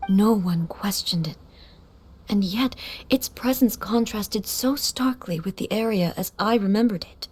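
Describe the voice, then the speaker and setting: Solemn game goddess, soft husky breathy voice, very measured and formal, quietly mournful. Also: female voice, mid-range voice. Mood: reflective. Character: solemn, grieving immortal ruler goddess, formal and composed. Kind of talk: dramatic video game voice acting.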